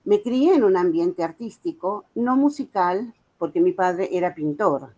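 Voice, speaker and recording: A woman's voice: fairly low pitch, lively intonation, measured pace, dark tone, dull audio. A woman in her seventies with a Buenos Aires accent gives a prepared talk as a guest speaker, telling her story earnestly with informal asides. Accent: Argentine, Buenos Aires. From Argentina. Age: 70s. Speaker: guest speaker, author and music educator.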